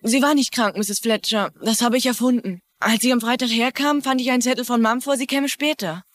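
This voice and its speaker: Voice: mid-range voice, fairly even intonation, brisk, fairly bright tone, no room sound, natural voice. An actor playing an anxious, polite young schoolboy, hesitant and earnest, in a dubbed TV drama. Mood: anxious, pleading. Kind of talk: German-dubbed TV drama acting.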